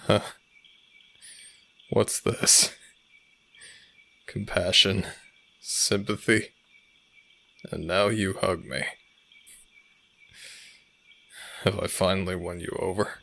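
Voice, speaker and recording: A man's voice: sits low, fairly even intonation, slow, vocal fry, pauses often, pushed and bright, exaggerated voice. A man playing a smug, teasing alpha werewolf pack leader and older brother in an audio roleplay drama.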